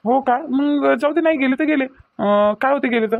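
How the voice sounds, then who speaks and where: A man's voice: very high-pitched, moderate pace, gravelly, dry recording. A man playing a mild shopkeeper son in a family drama, casual, with a Varhadi Marathi accent and a muffled, narrow sound. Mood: unbothered. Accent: Varhadi Marathi of Vidarbha. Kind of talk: amateur family comedy-drama dialogue.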